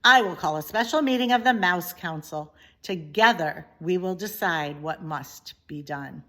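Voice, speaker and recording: Stern, blustering mouse patriarch, performed by a woman; loud, emphatic storybook outrage. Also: lowish voice, measured pace.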